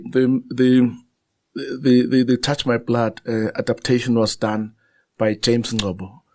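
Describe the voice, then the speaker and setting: A man's voice: medium pitch, a little mellow. A man, a Zulu speaker, chatting as a guest writer in a casual interview, thoughtful and anecdotal, often restarting his sentences.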